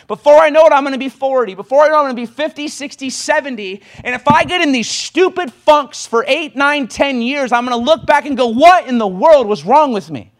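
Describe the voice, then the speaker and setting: A man. His voice sits very high for a man, and he drives his points hard, racing ahead and then punching words out louder. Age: 20s. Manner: impassioned, rapid exhortation with sudden bursts of volume. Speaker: preacher. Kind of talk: sermon.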